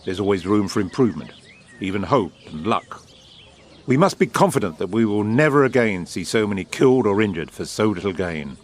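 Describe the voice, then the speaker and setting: Man playing a low-voiced, suave British wartime official. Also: lively intonation, lightly acted. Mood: brisk, confident. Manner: urbane, eloquent, measured, grandiloquent. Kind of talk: period audio drama acting.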